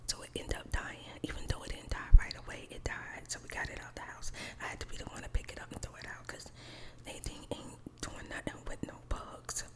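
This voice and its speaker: A middle-aged woman tells a casual personal story in a breathy whisper, colloquially and with repeated emphasis, as in ASMR. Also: a little fast, hardly any pauses.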